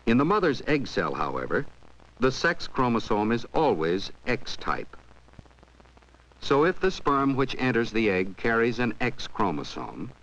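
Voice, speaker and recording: A man reading scripted educational film narration in a measured, explanatory way. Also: medium pitch, sing-song, a bit bright. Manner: measured, explanatory, poses questions to the viewer. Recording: dull 1970s 16mm film soundtrack.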